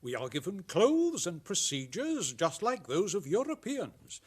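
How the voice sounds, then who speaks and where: A man's voice: high voice, huge pitch swings, a little slow, slightly rough, exaggerated voice. A man playing a smug, preachy member of parliament in a satirical radio drama, declaiming officiously.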